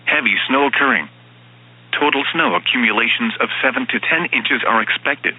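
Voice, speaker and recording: A synthetic man's voice reading formal emergency weather bulletins as an automated announcer, in a flat, stilted manner, with lo-fi radio sound. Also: medium pitch, moderate pace, slightly rough. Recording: dull, band-limited weather radio broadcast sound.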